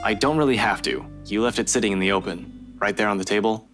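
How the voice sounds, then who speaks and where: A woman's voice: very deep voice, fast, pitched below the performer's own voice. A woman voicing a young man comforting a close friend, reading a visual novel aloud over guitar music. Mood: casual, prodding.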